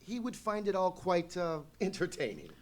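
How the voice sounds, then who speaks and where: A man playing an anxious, courteous English lord in a live radio play, with a husky voice and formal, florid speech. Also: high-pitched, very animated, moderate pace, a little mellow. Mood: apologetic. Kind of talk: live old-time radio play acting.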